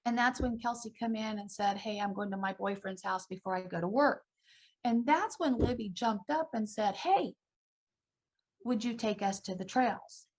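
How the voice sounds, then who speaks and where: A woman's voice: mid-range voice, moderate pace, darkish tone, breathy edge, poor audio. A middle-aged or older woman recalling events conversationally in a news interview. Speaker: interviewee, relative of a victim.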